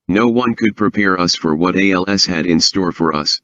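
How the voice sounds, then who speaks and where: A very deep synthesized male voice reads a prepared first-person account. The sound is dry and a little muffled and dull. The delivery stays fluent and even, with no fillers at all. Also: moderate pace, no echo. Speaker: author and guest.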